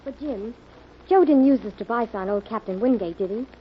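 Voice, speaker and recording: An actress playing an inquisitive, wry detective's assistant in 1940s radio drama style. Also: female voice, a little high, fairly quick, breathy edge. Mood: curious, wry. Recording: muffled vintage radio recording, faint hiss.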